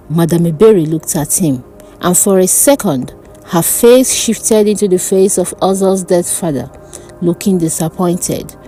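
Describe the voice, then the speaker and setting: A woman narrating a dark folk tale as a storyteller, in a deliberate, theatrical manner. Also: lowish voice, poor audio.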